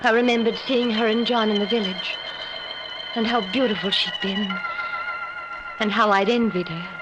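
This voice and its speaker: A woman's voice: mid-range voice, normal speed, lo-fi sound, over loud music, lightly acted. A woman playing an anxious, suspicious new wife in a 1940s radio drama, speaking hesitantly and faltering over her words. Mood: brooding. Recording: noisy old-time radio recording.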